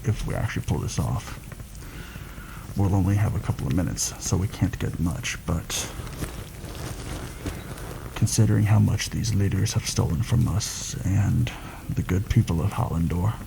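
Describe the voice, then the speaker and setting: A man playing a seasoned, wry assassin in a soft-spoken ASMR roleplay, talking in a hushed, measured, conspiratorial way with a gravelly voice. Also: low voice. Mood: dry, conspiratorial. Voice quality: gravelly, creaky. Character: seasoned, wry rebel assassin planning a palace heist. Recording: noticeable background noise.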